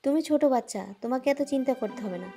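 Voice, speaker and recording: A woman's voice: sits high, animated, rapid-fire, warm tone. A woman voicing a struggling poor mother who turns greedy, in a homemade cartoon. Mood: scheming. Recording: music playing behind.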